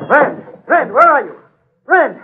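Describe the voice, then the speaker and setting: Excitable, alarmed professor, animated calling voice, old radio horror, thin tinny band. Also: male voice, very high voice, measured pace, a little hiss or hum, full character voice. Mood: anxious calling.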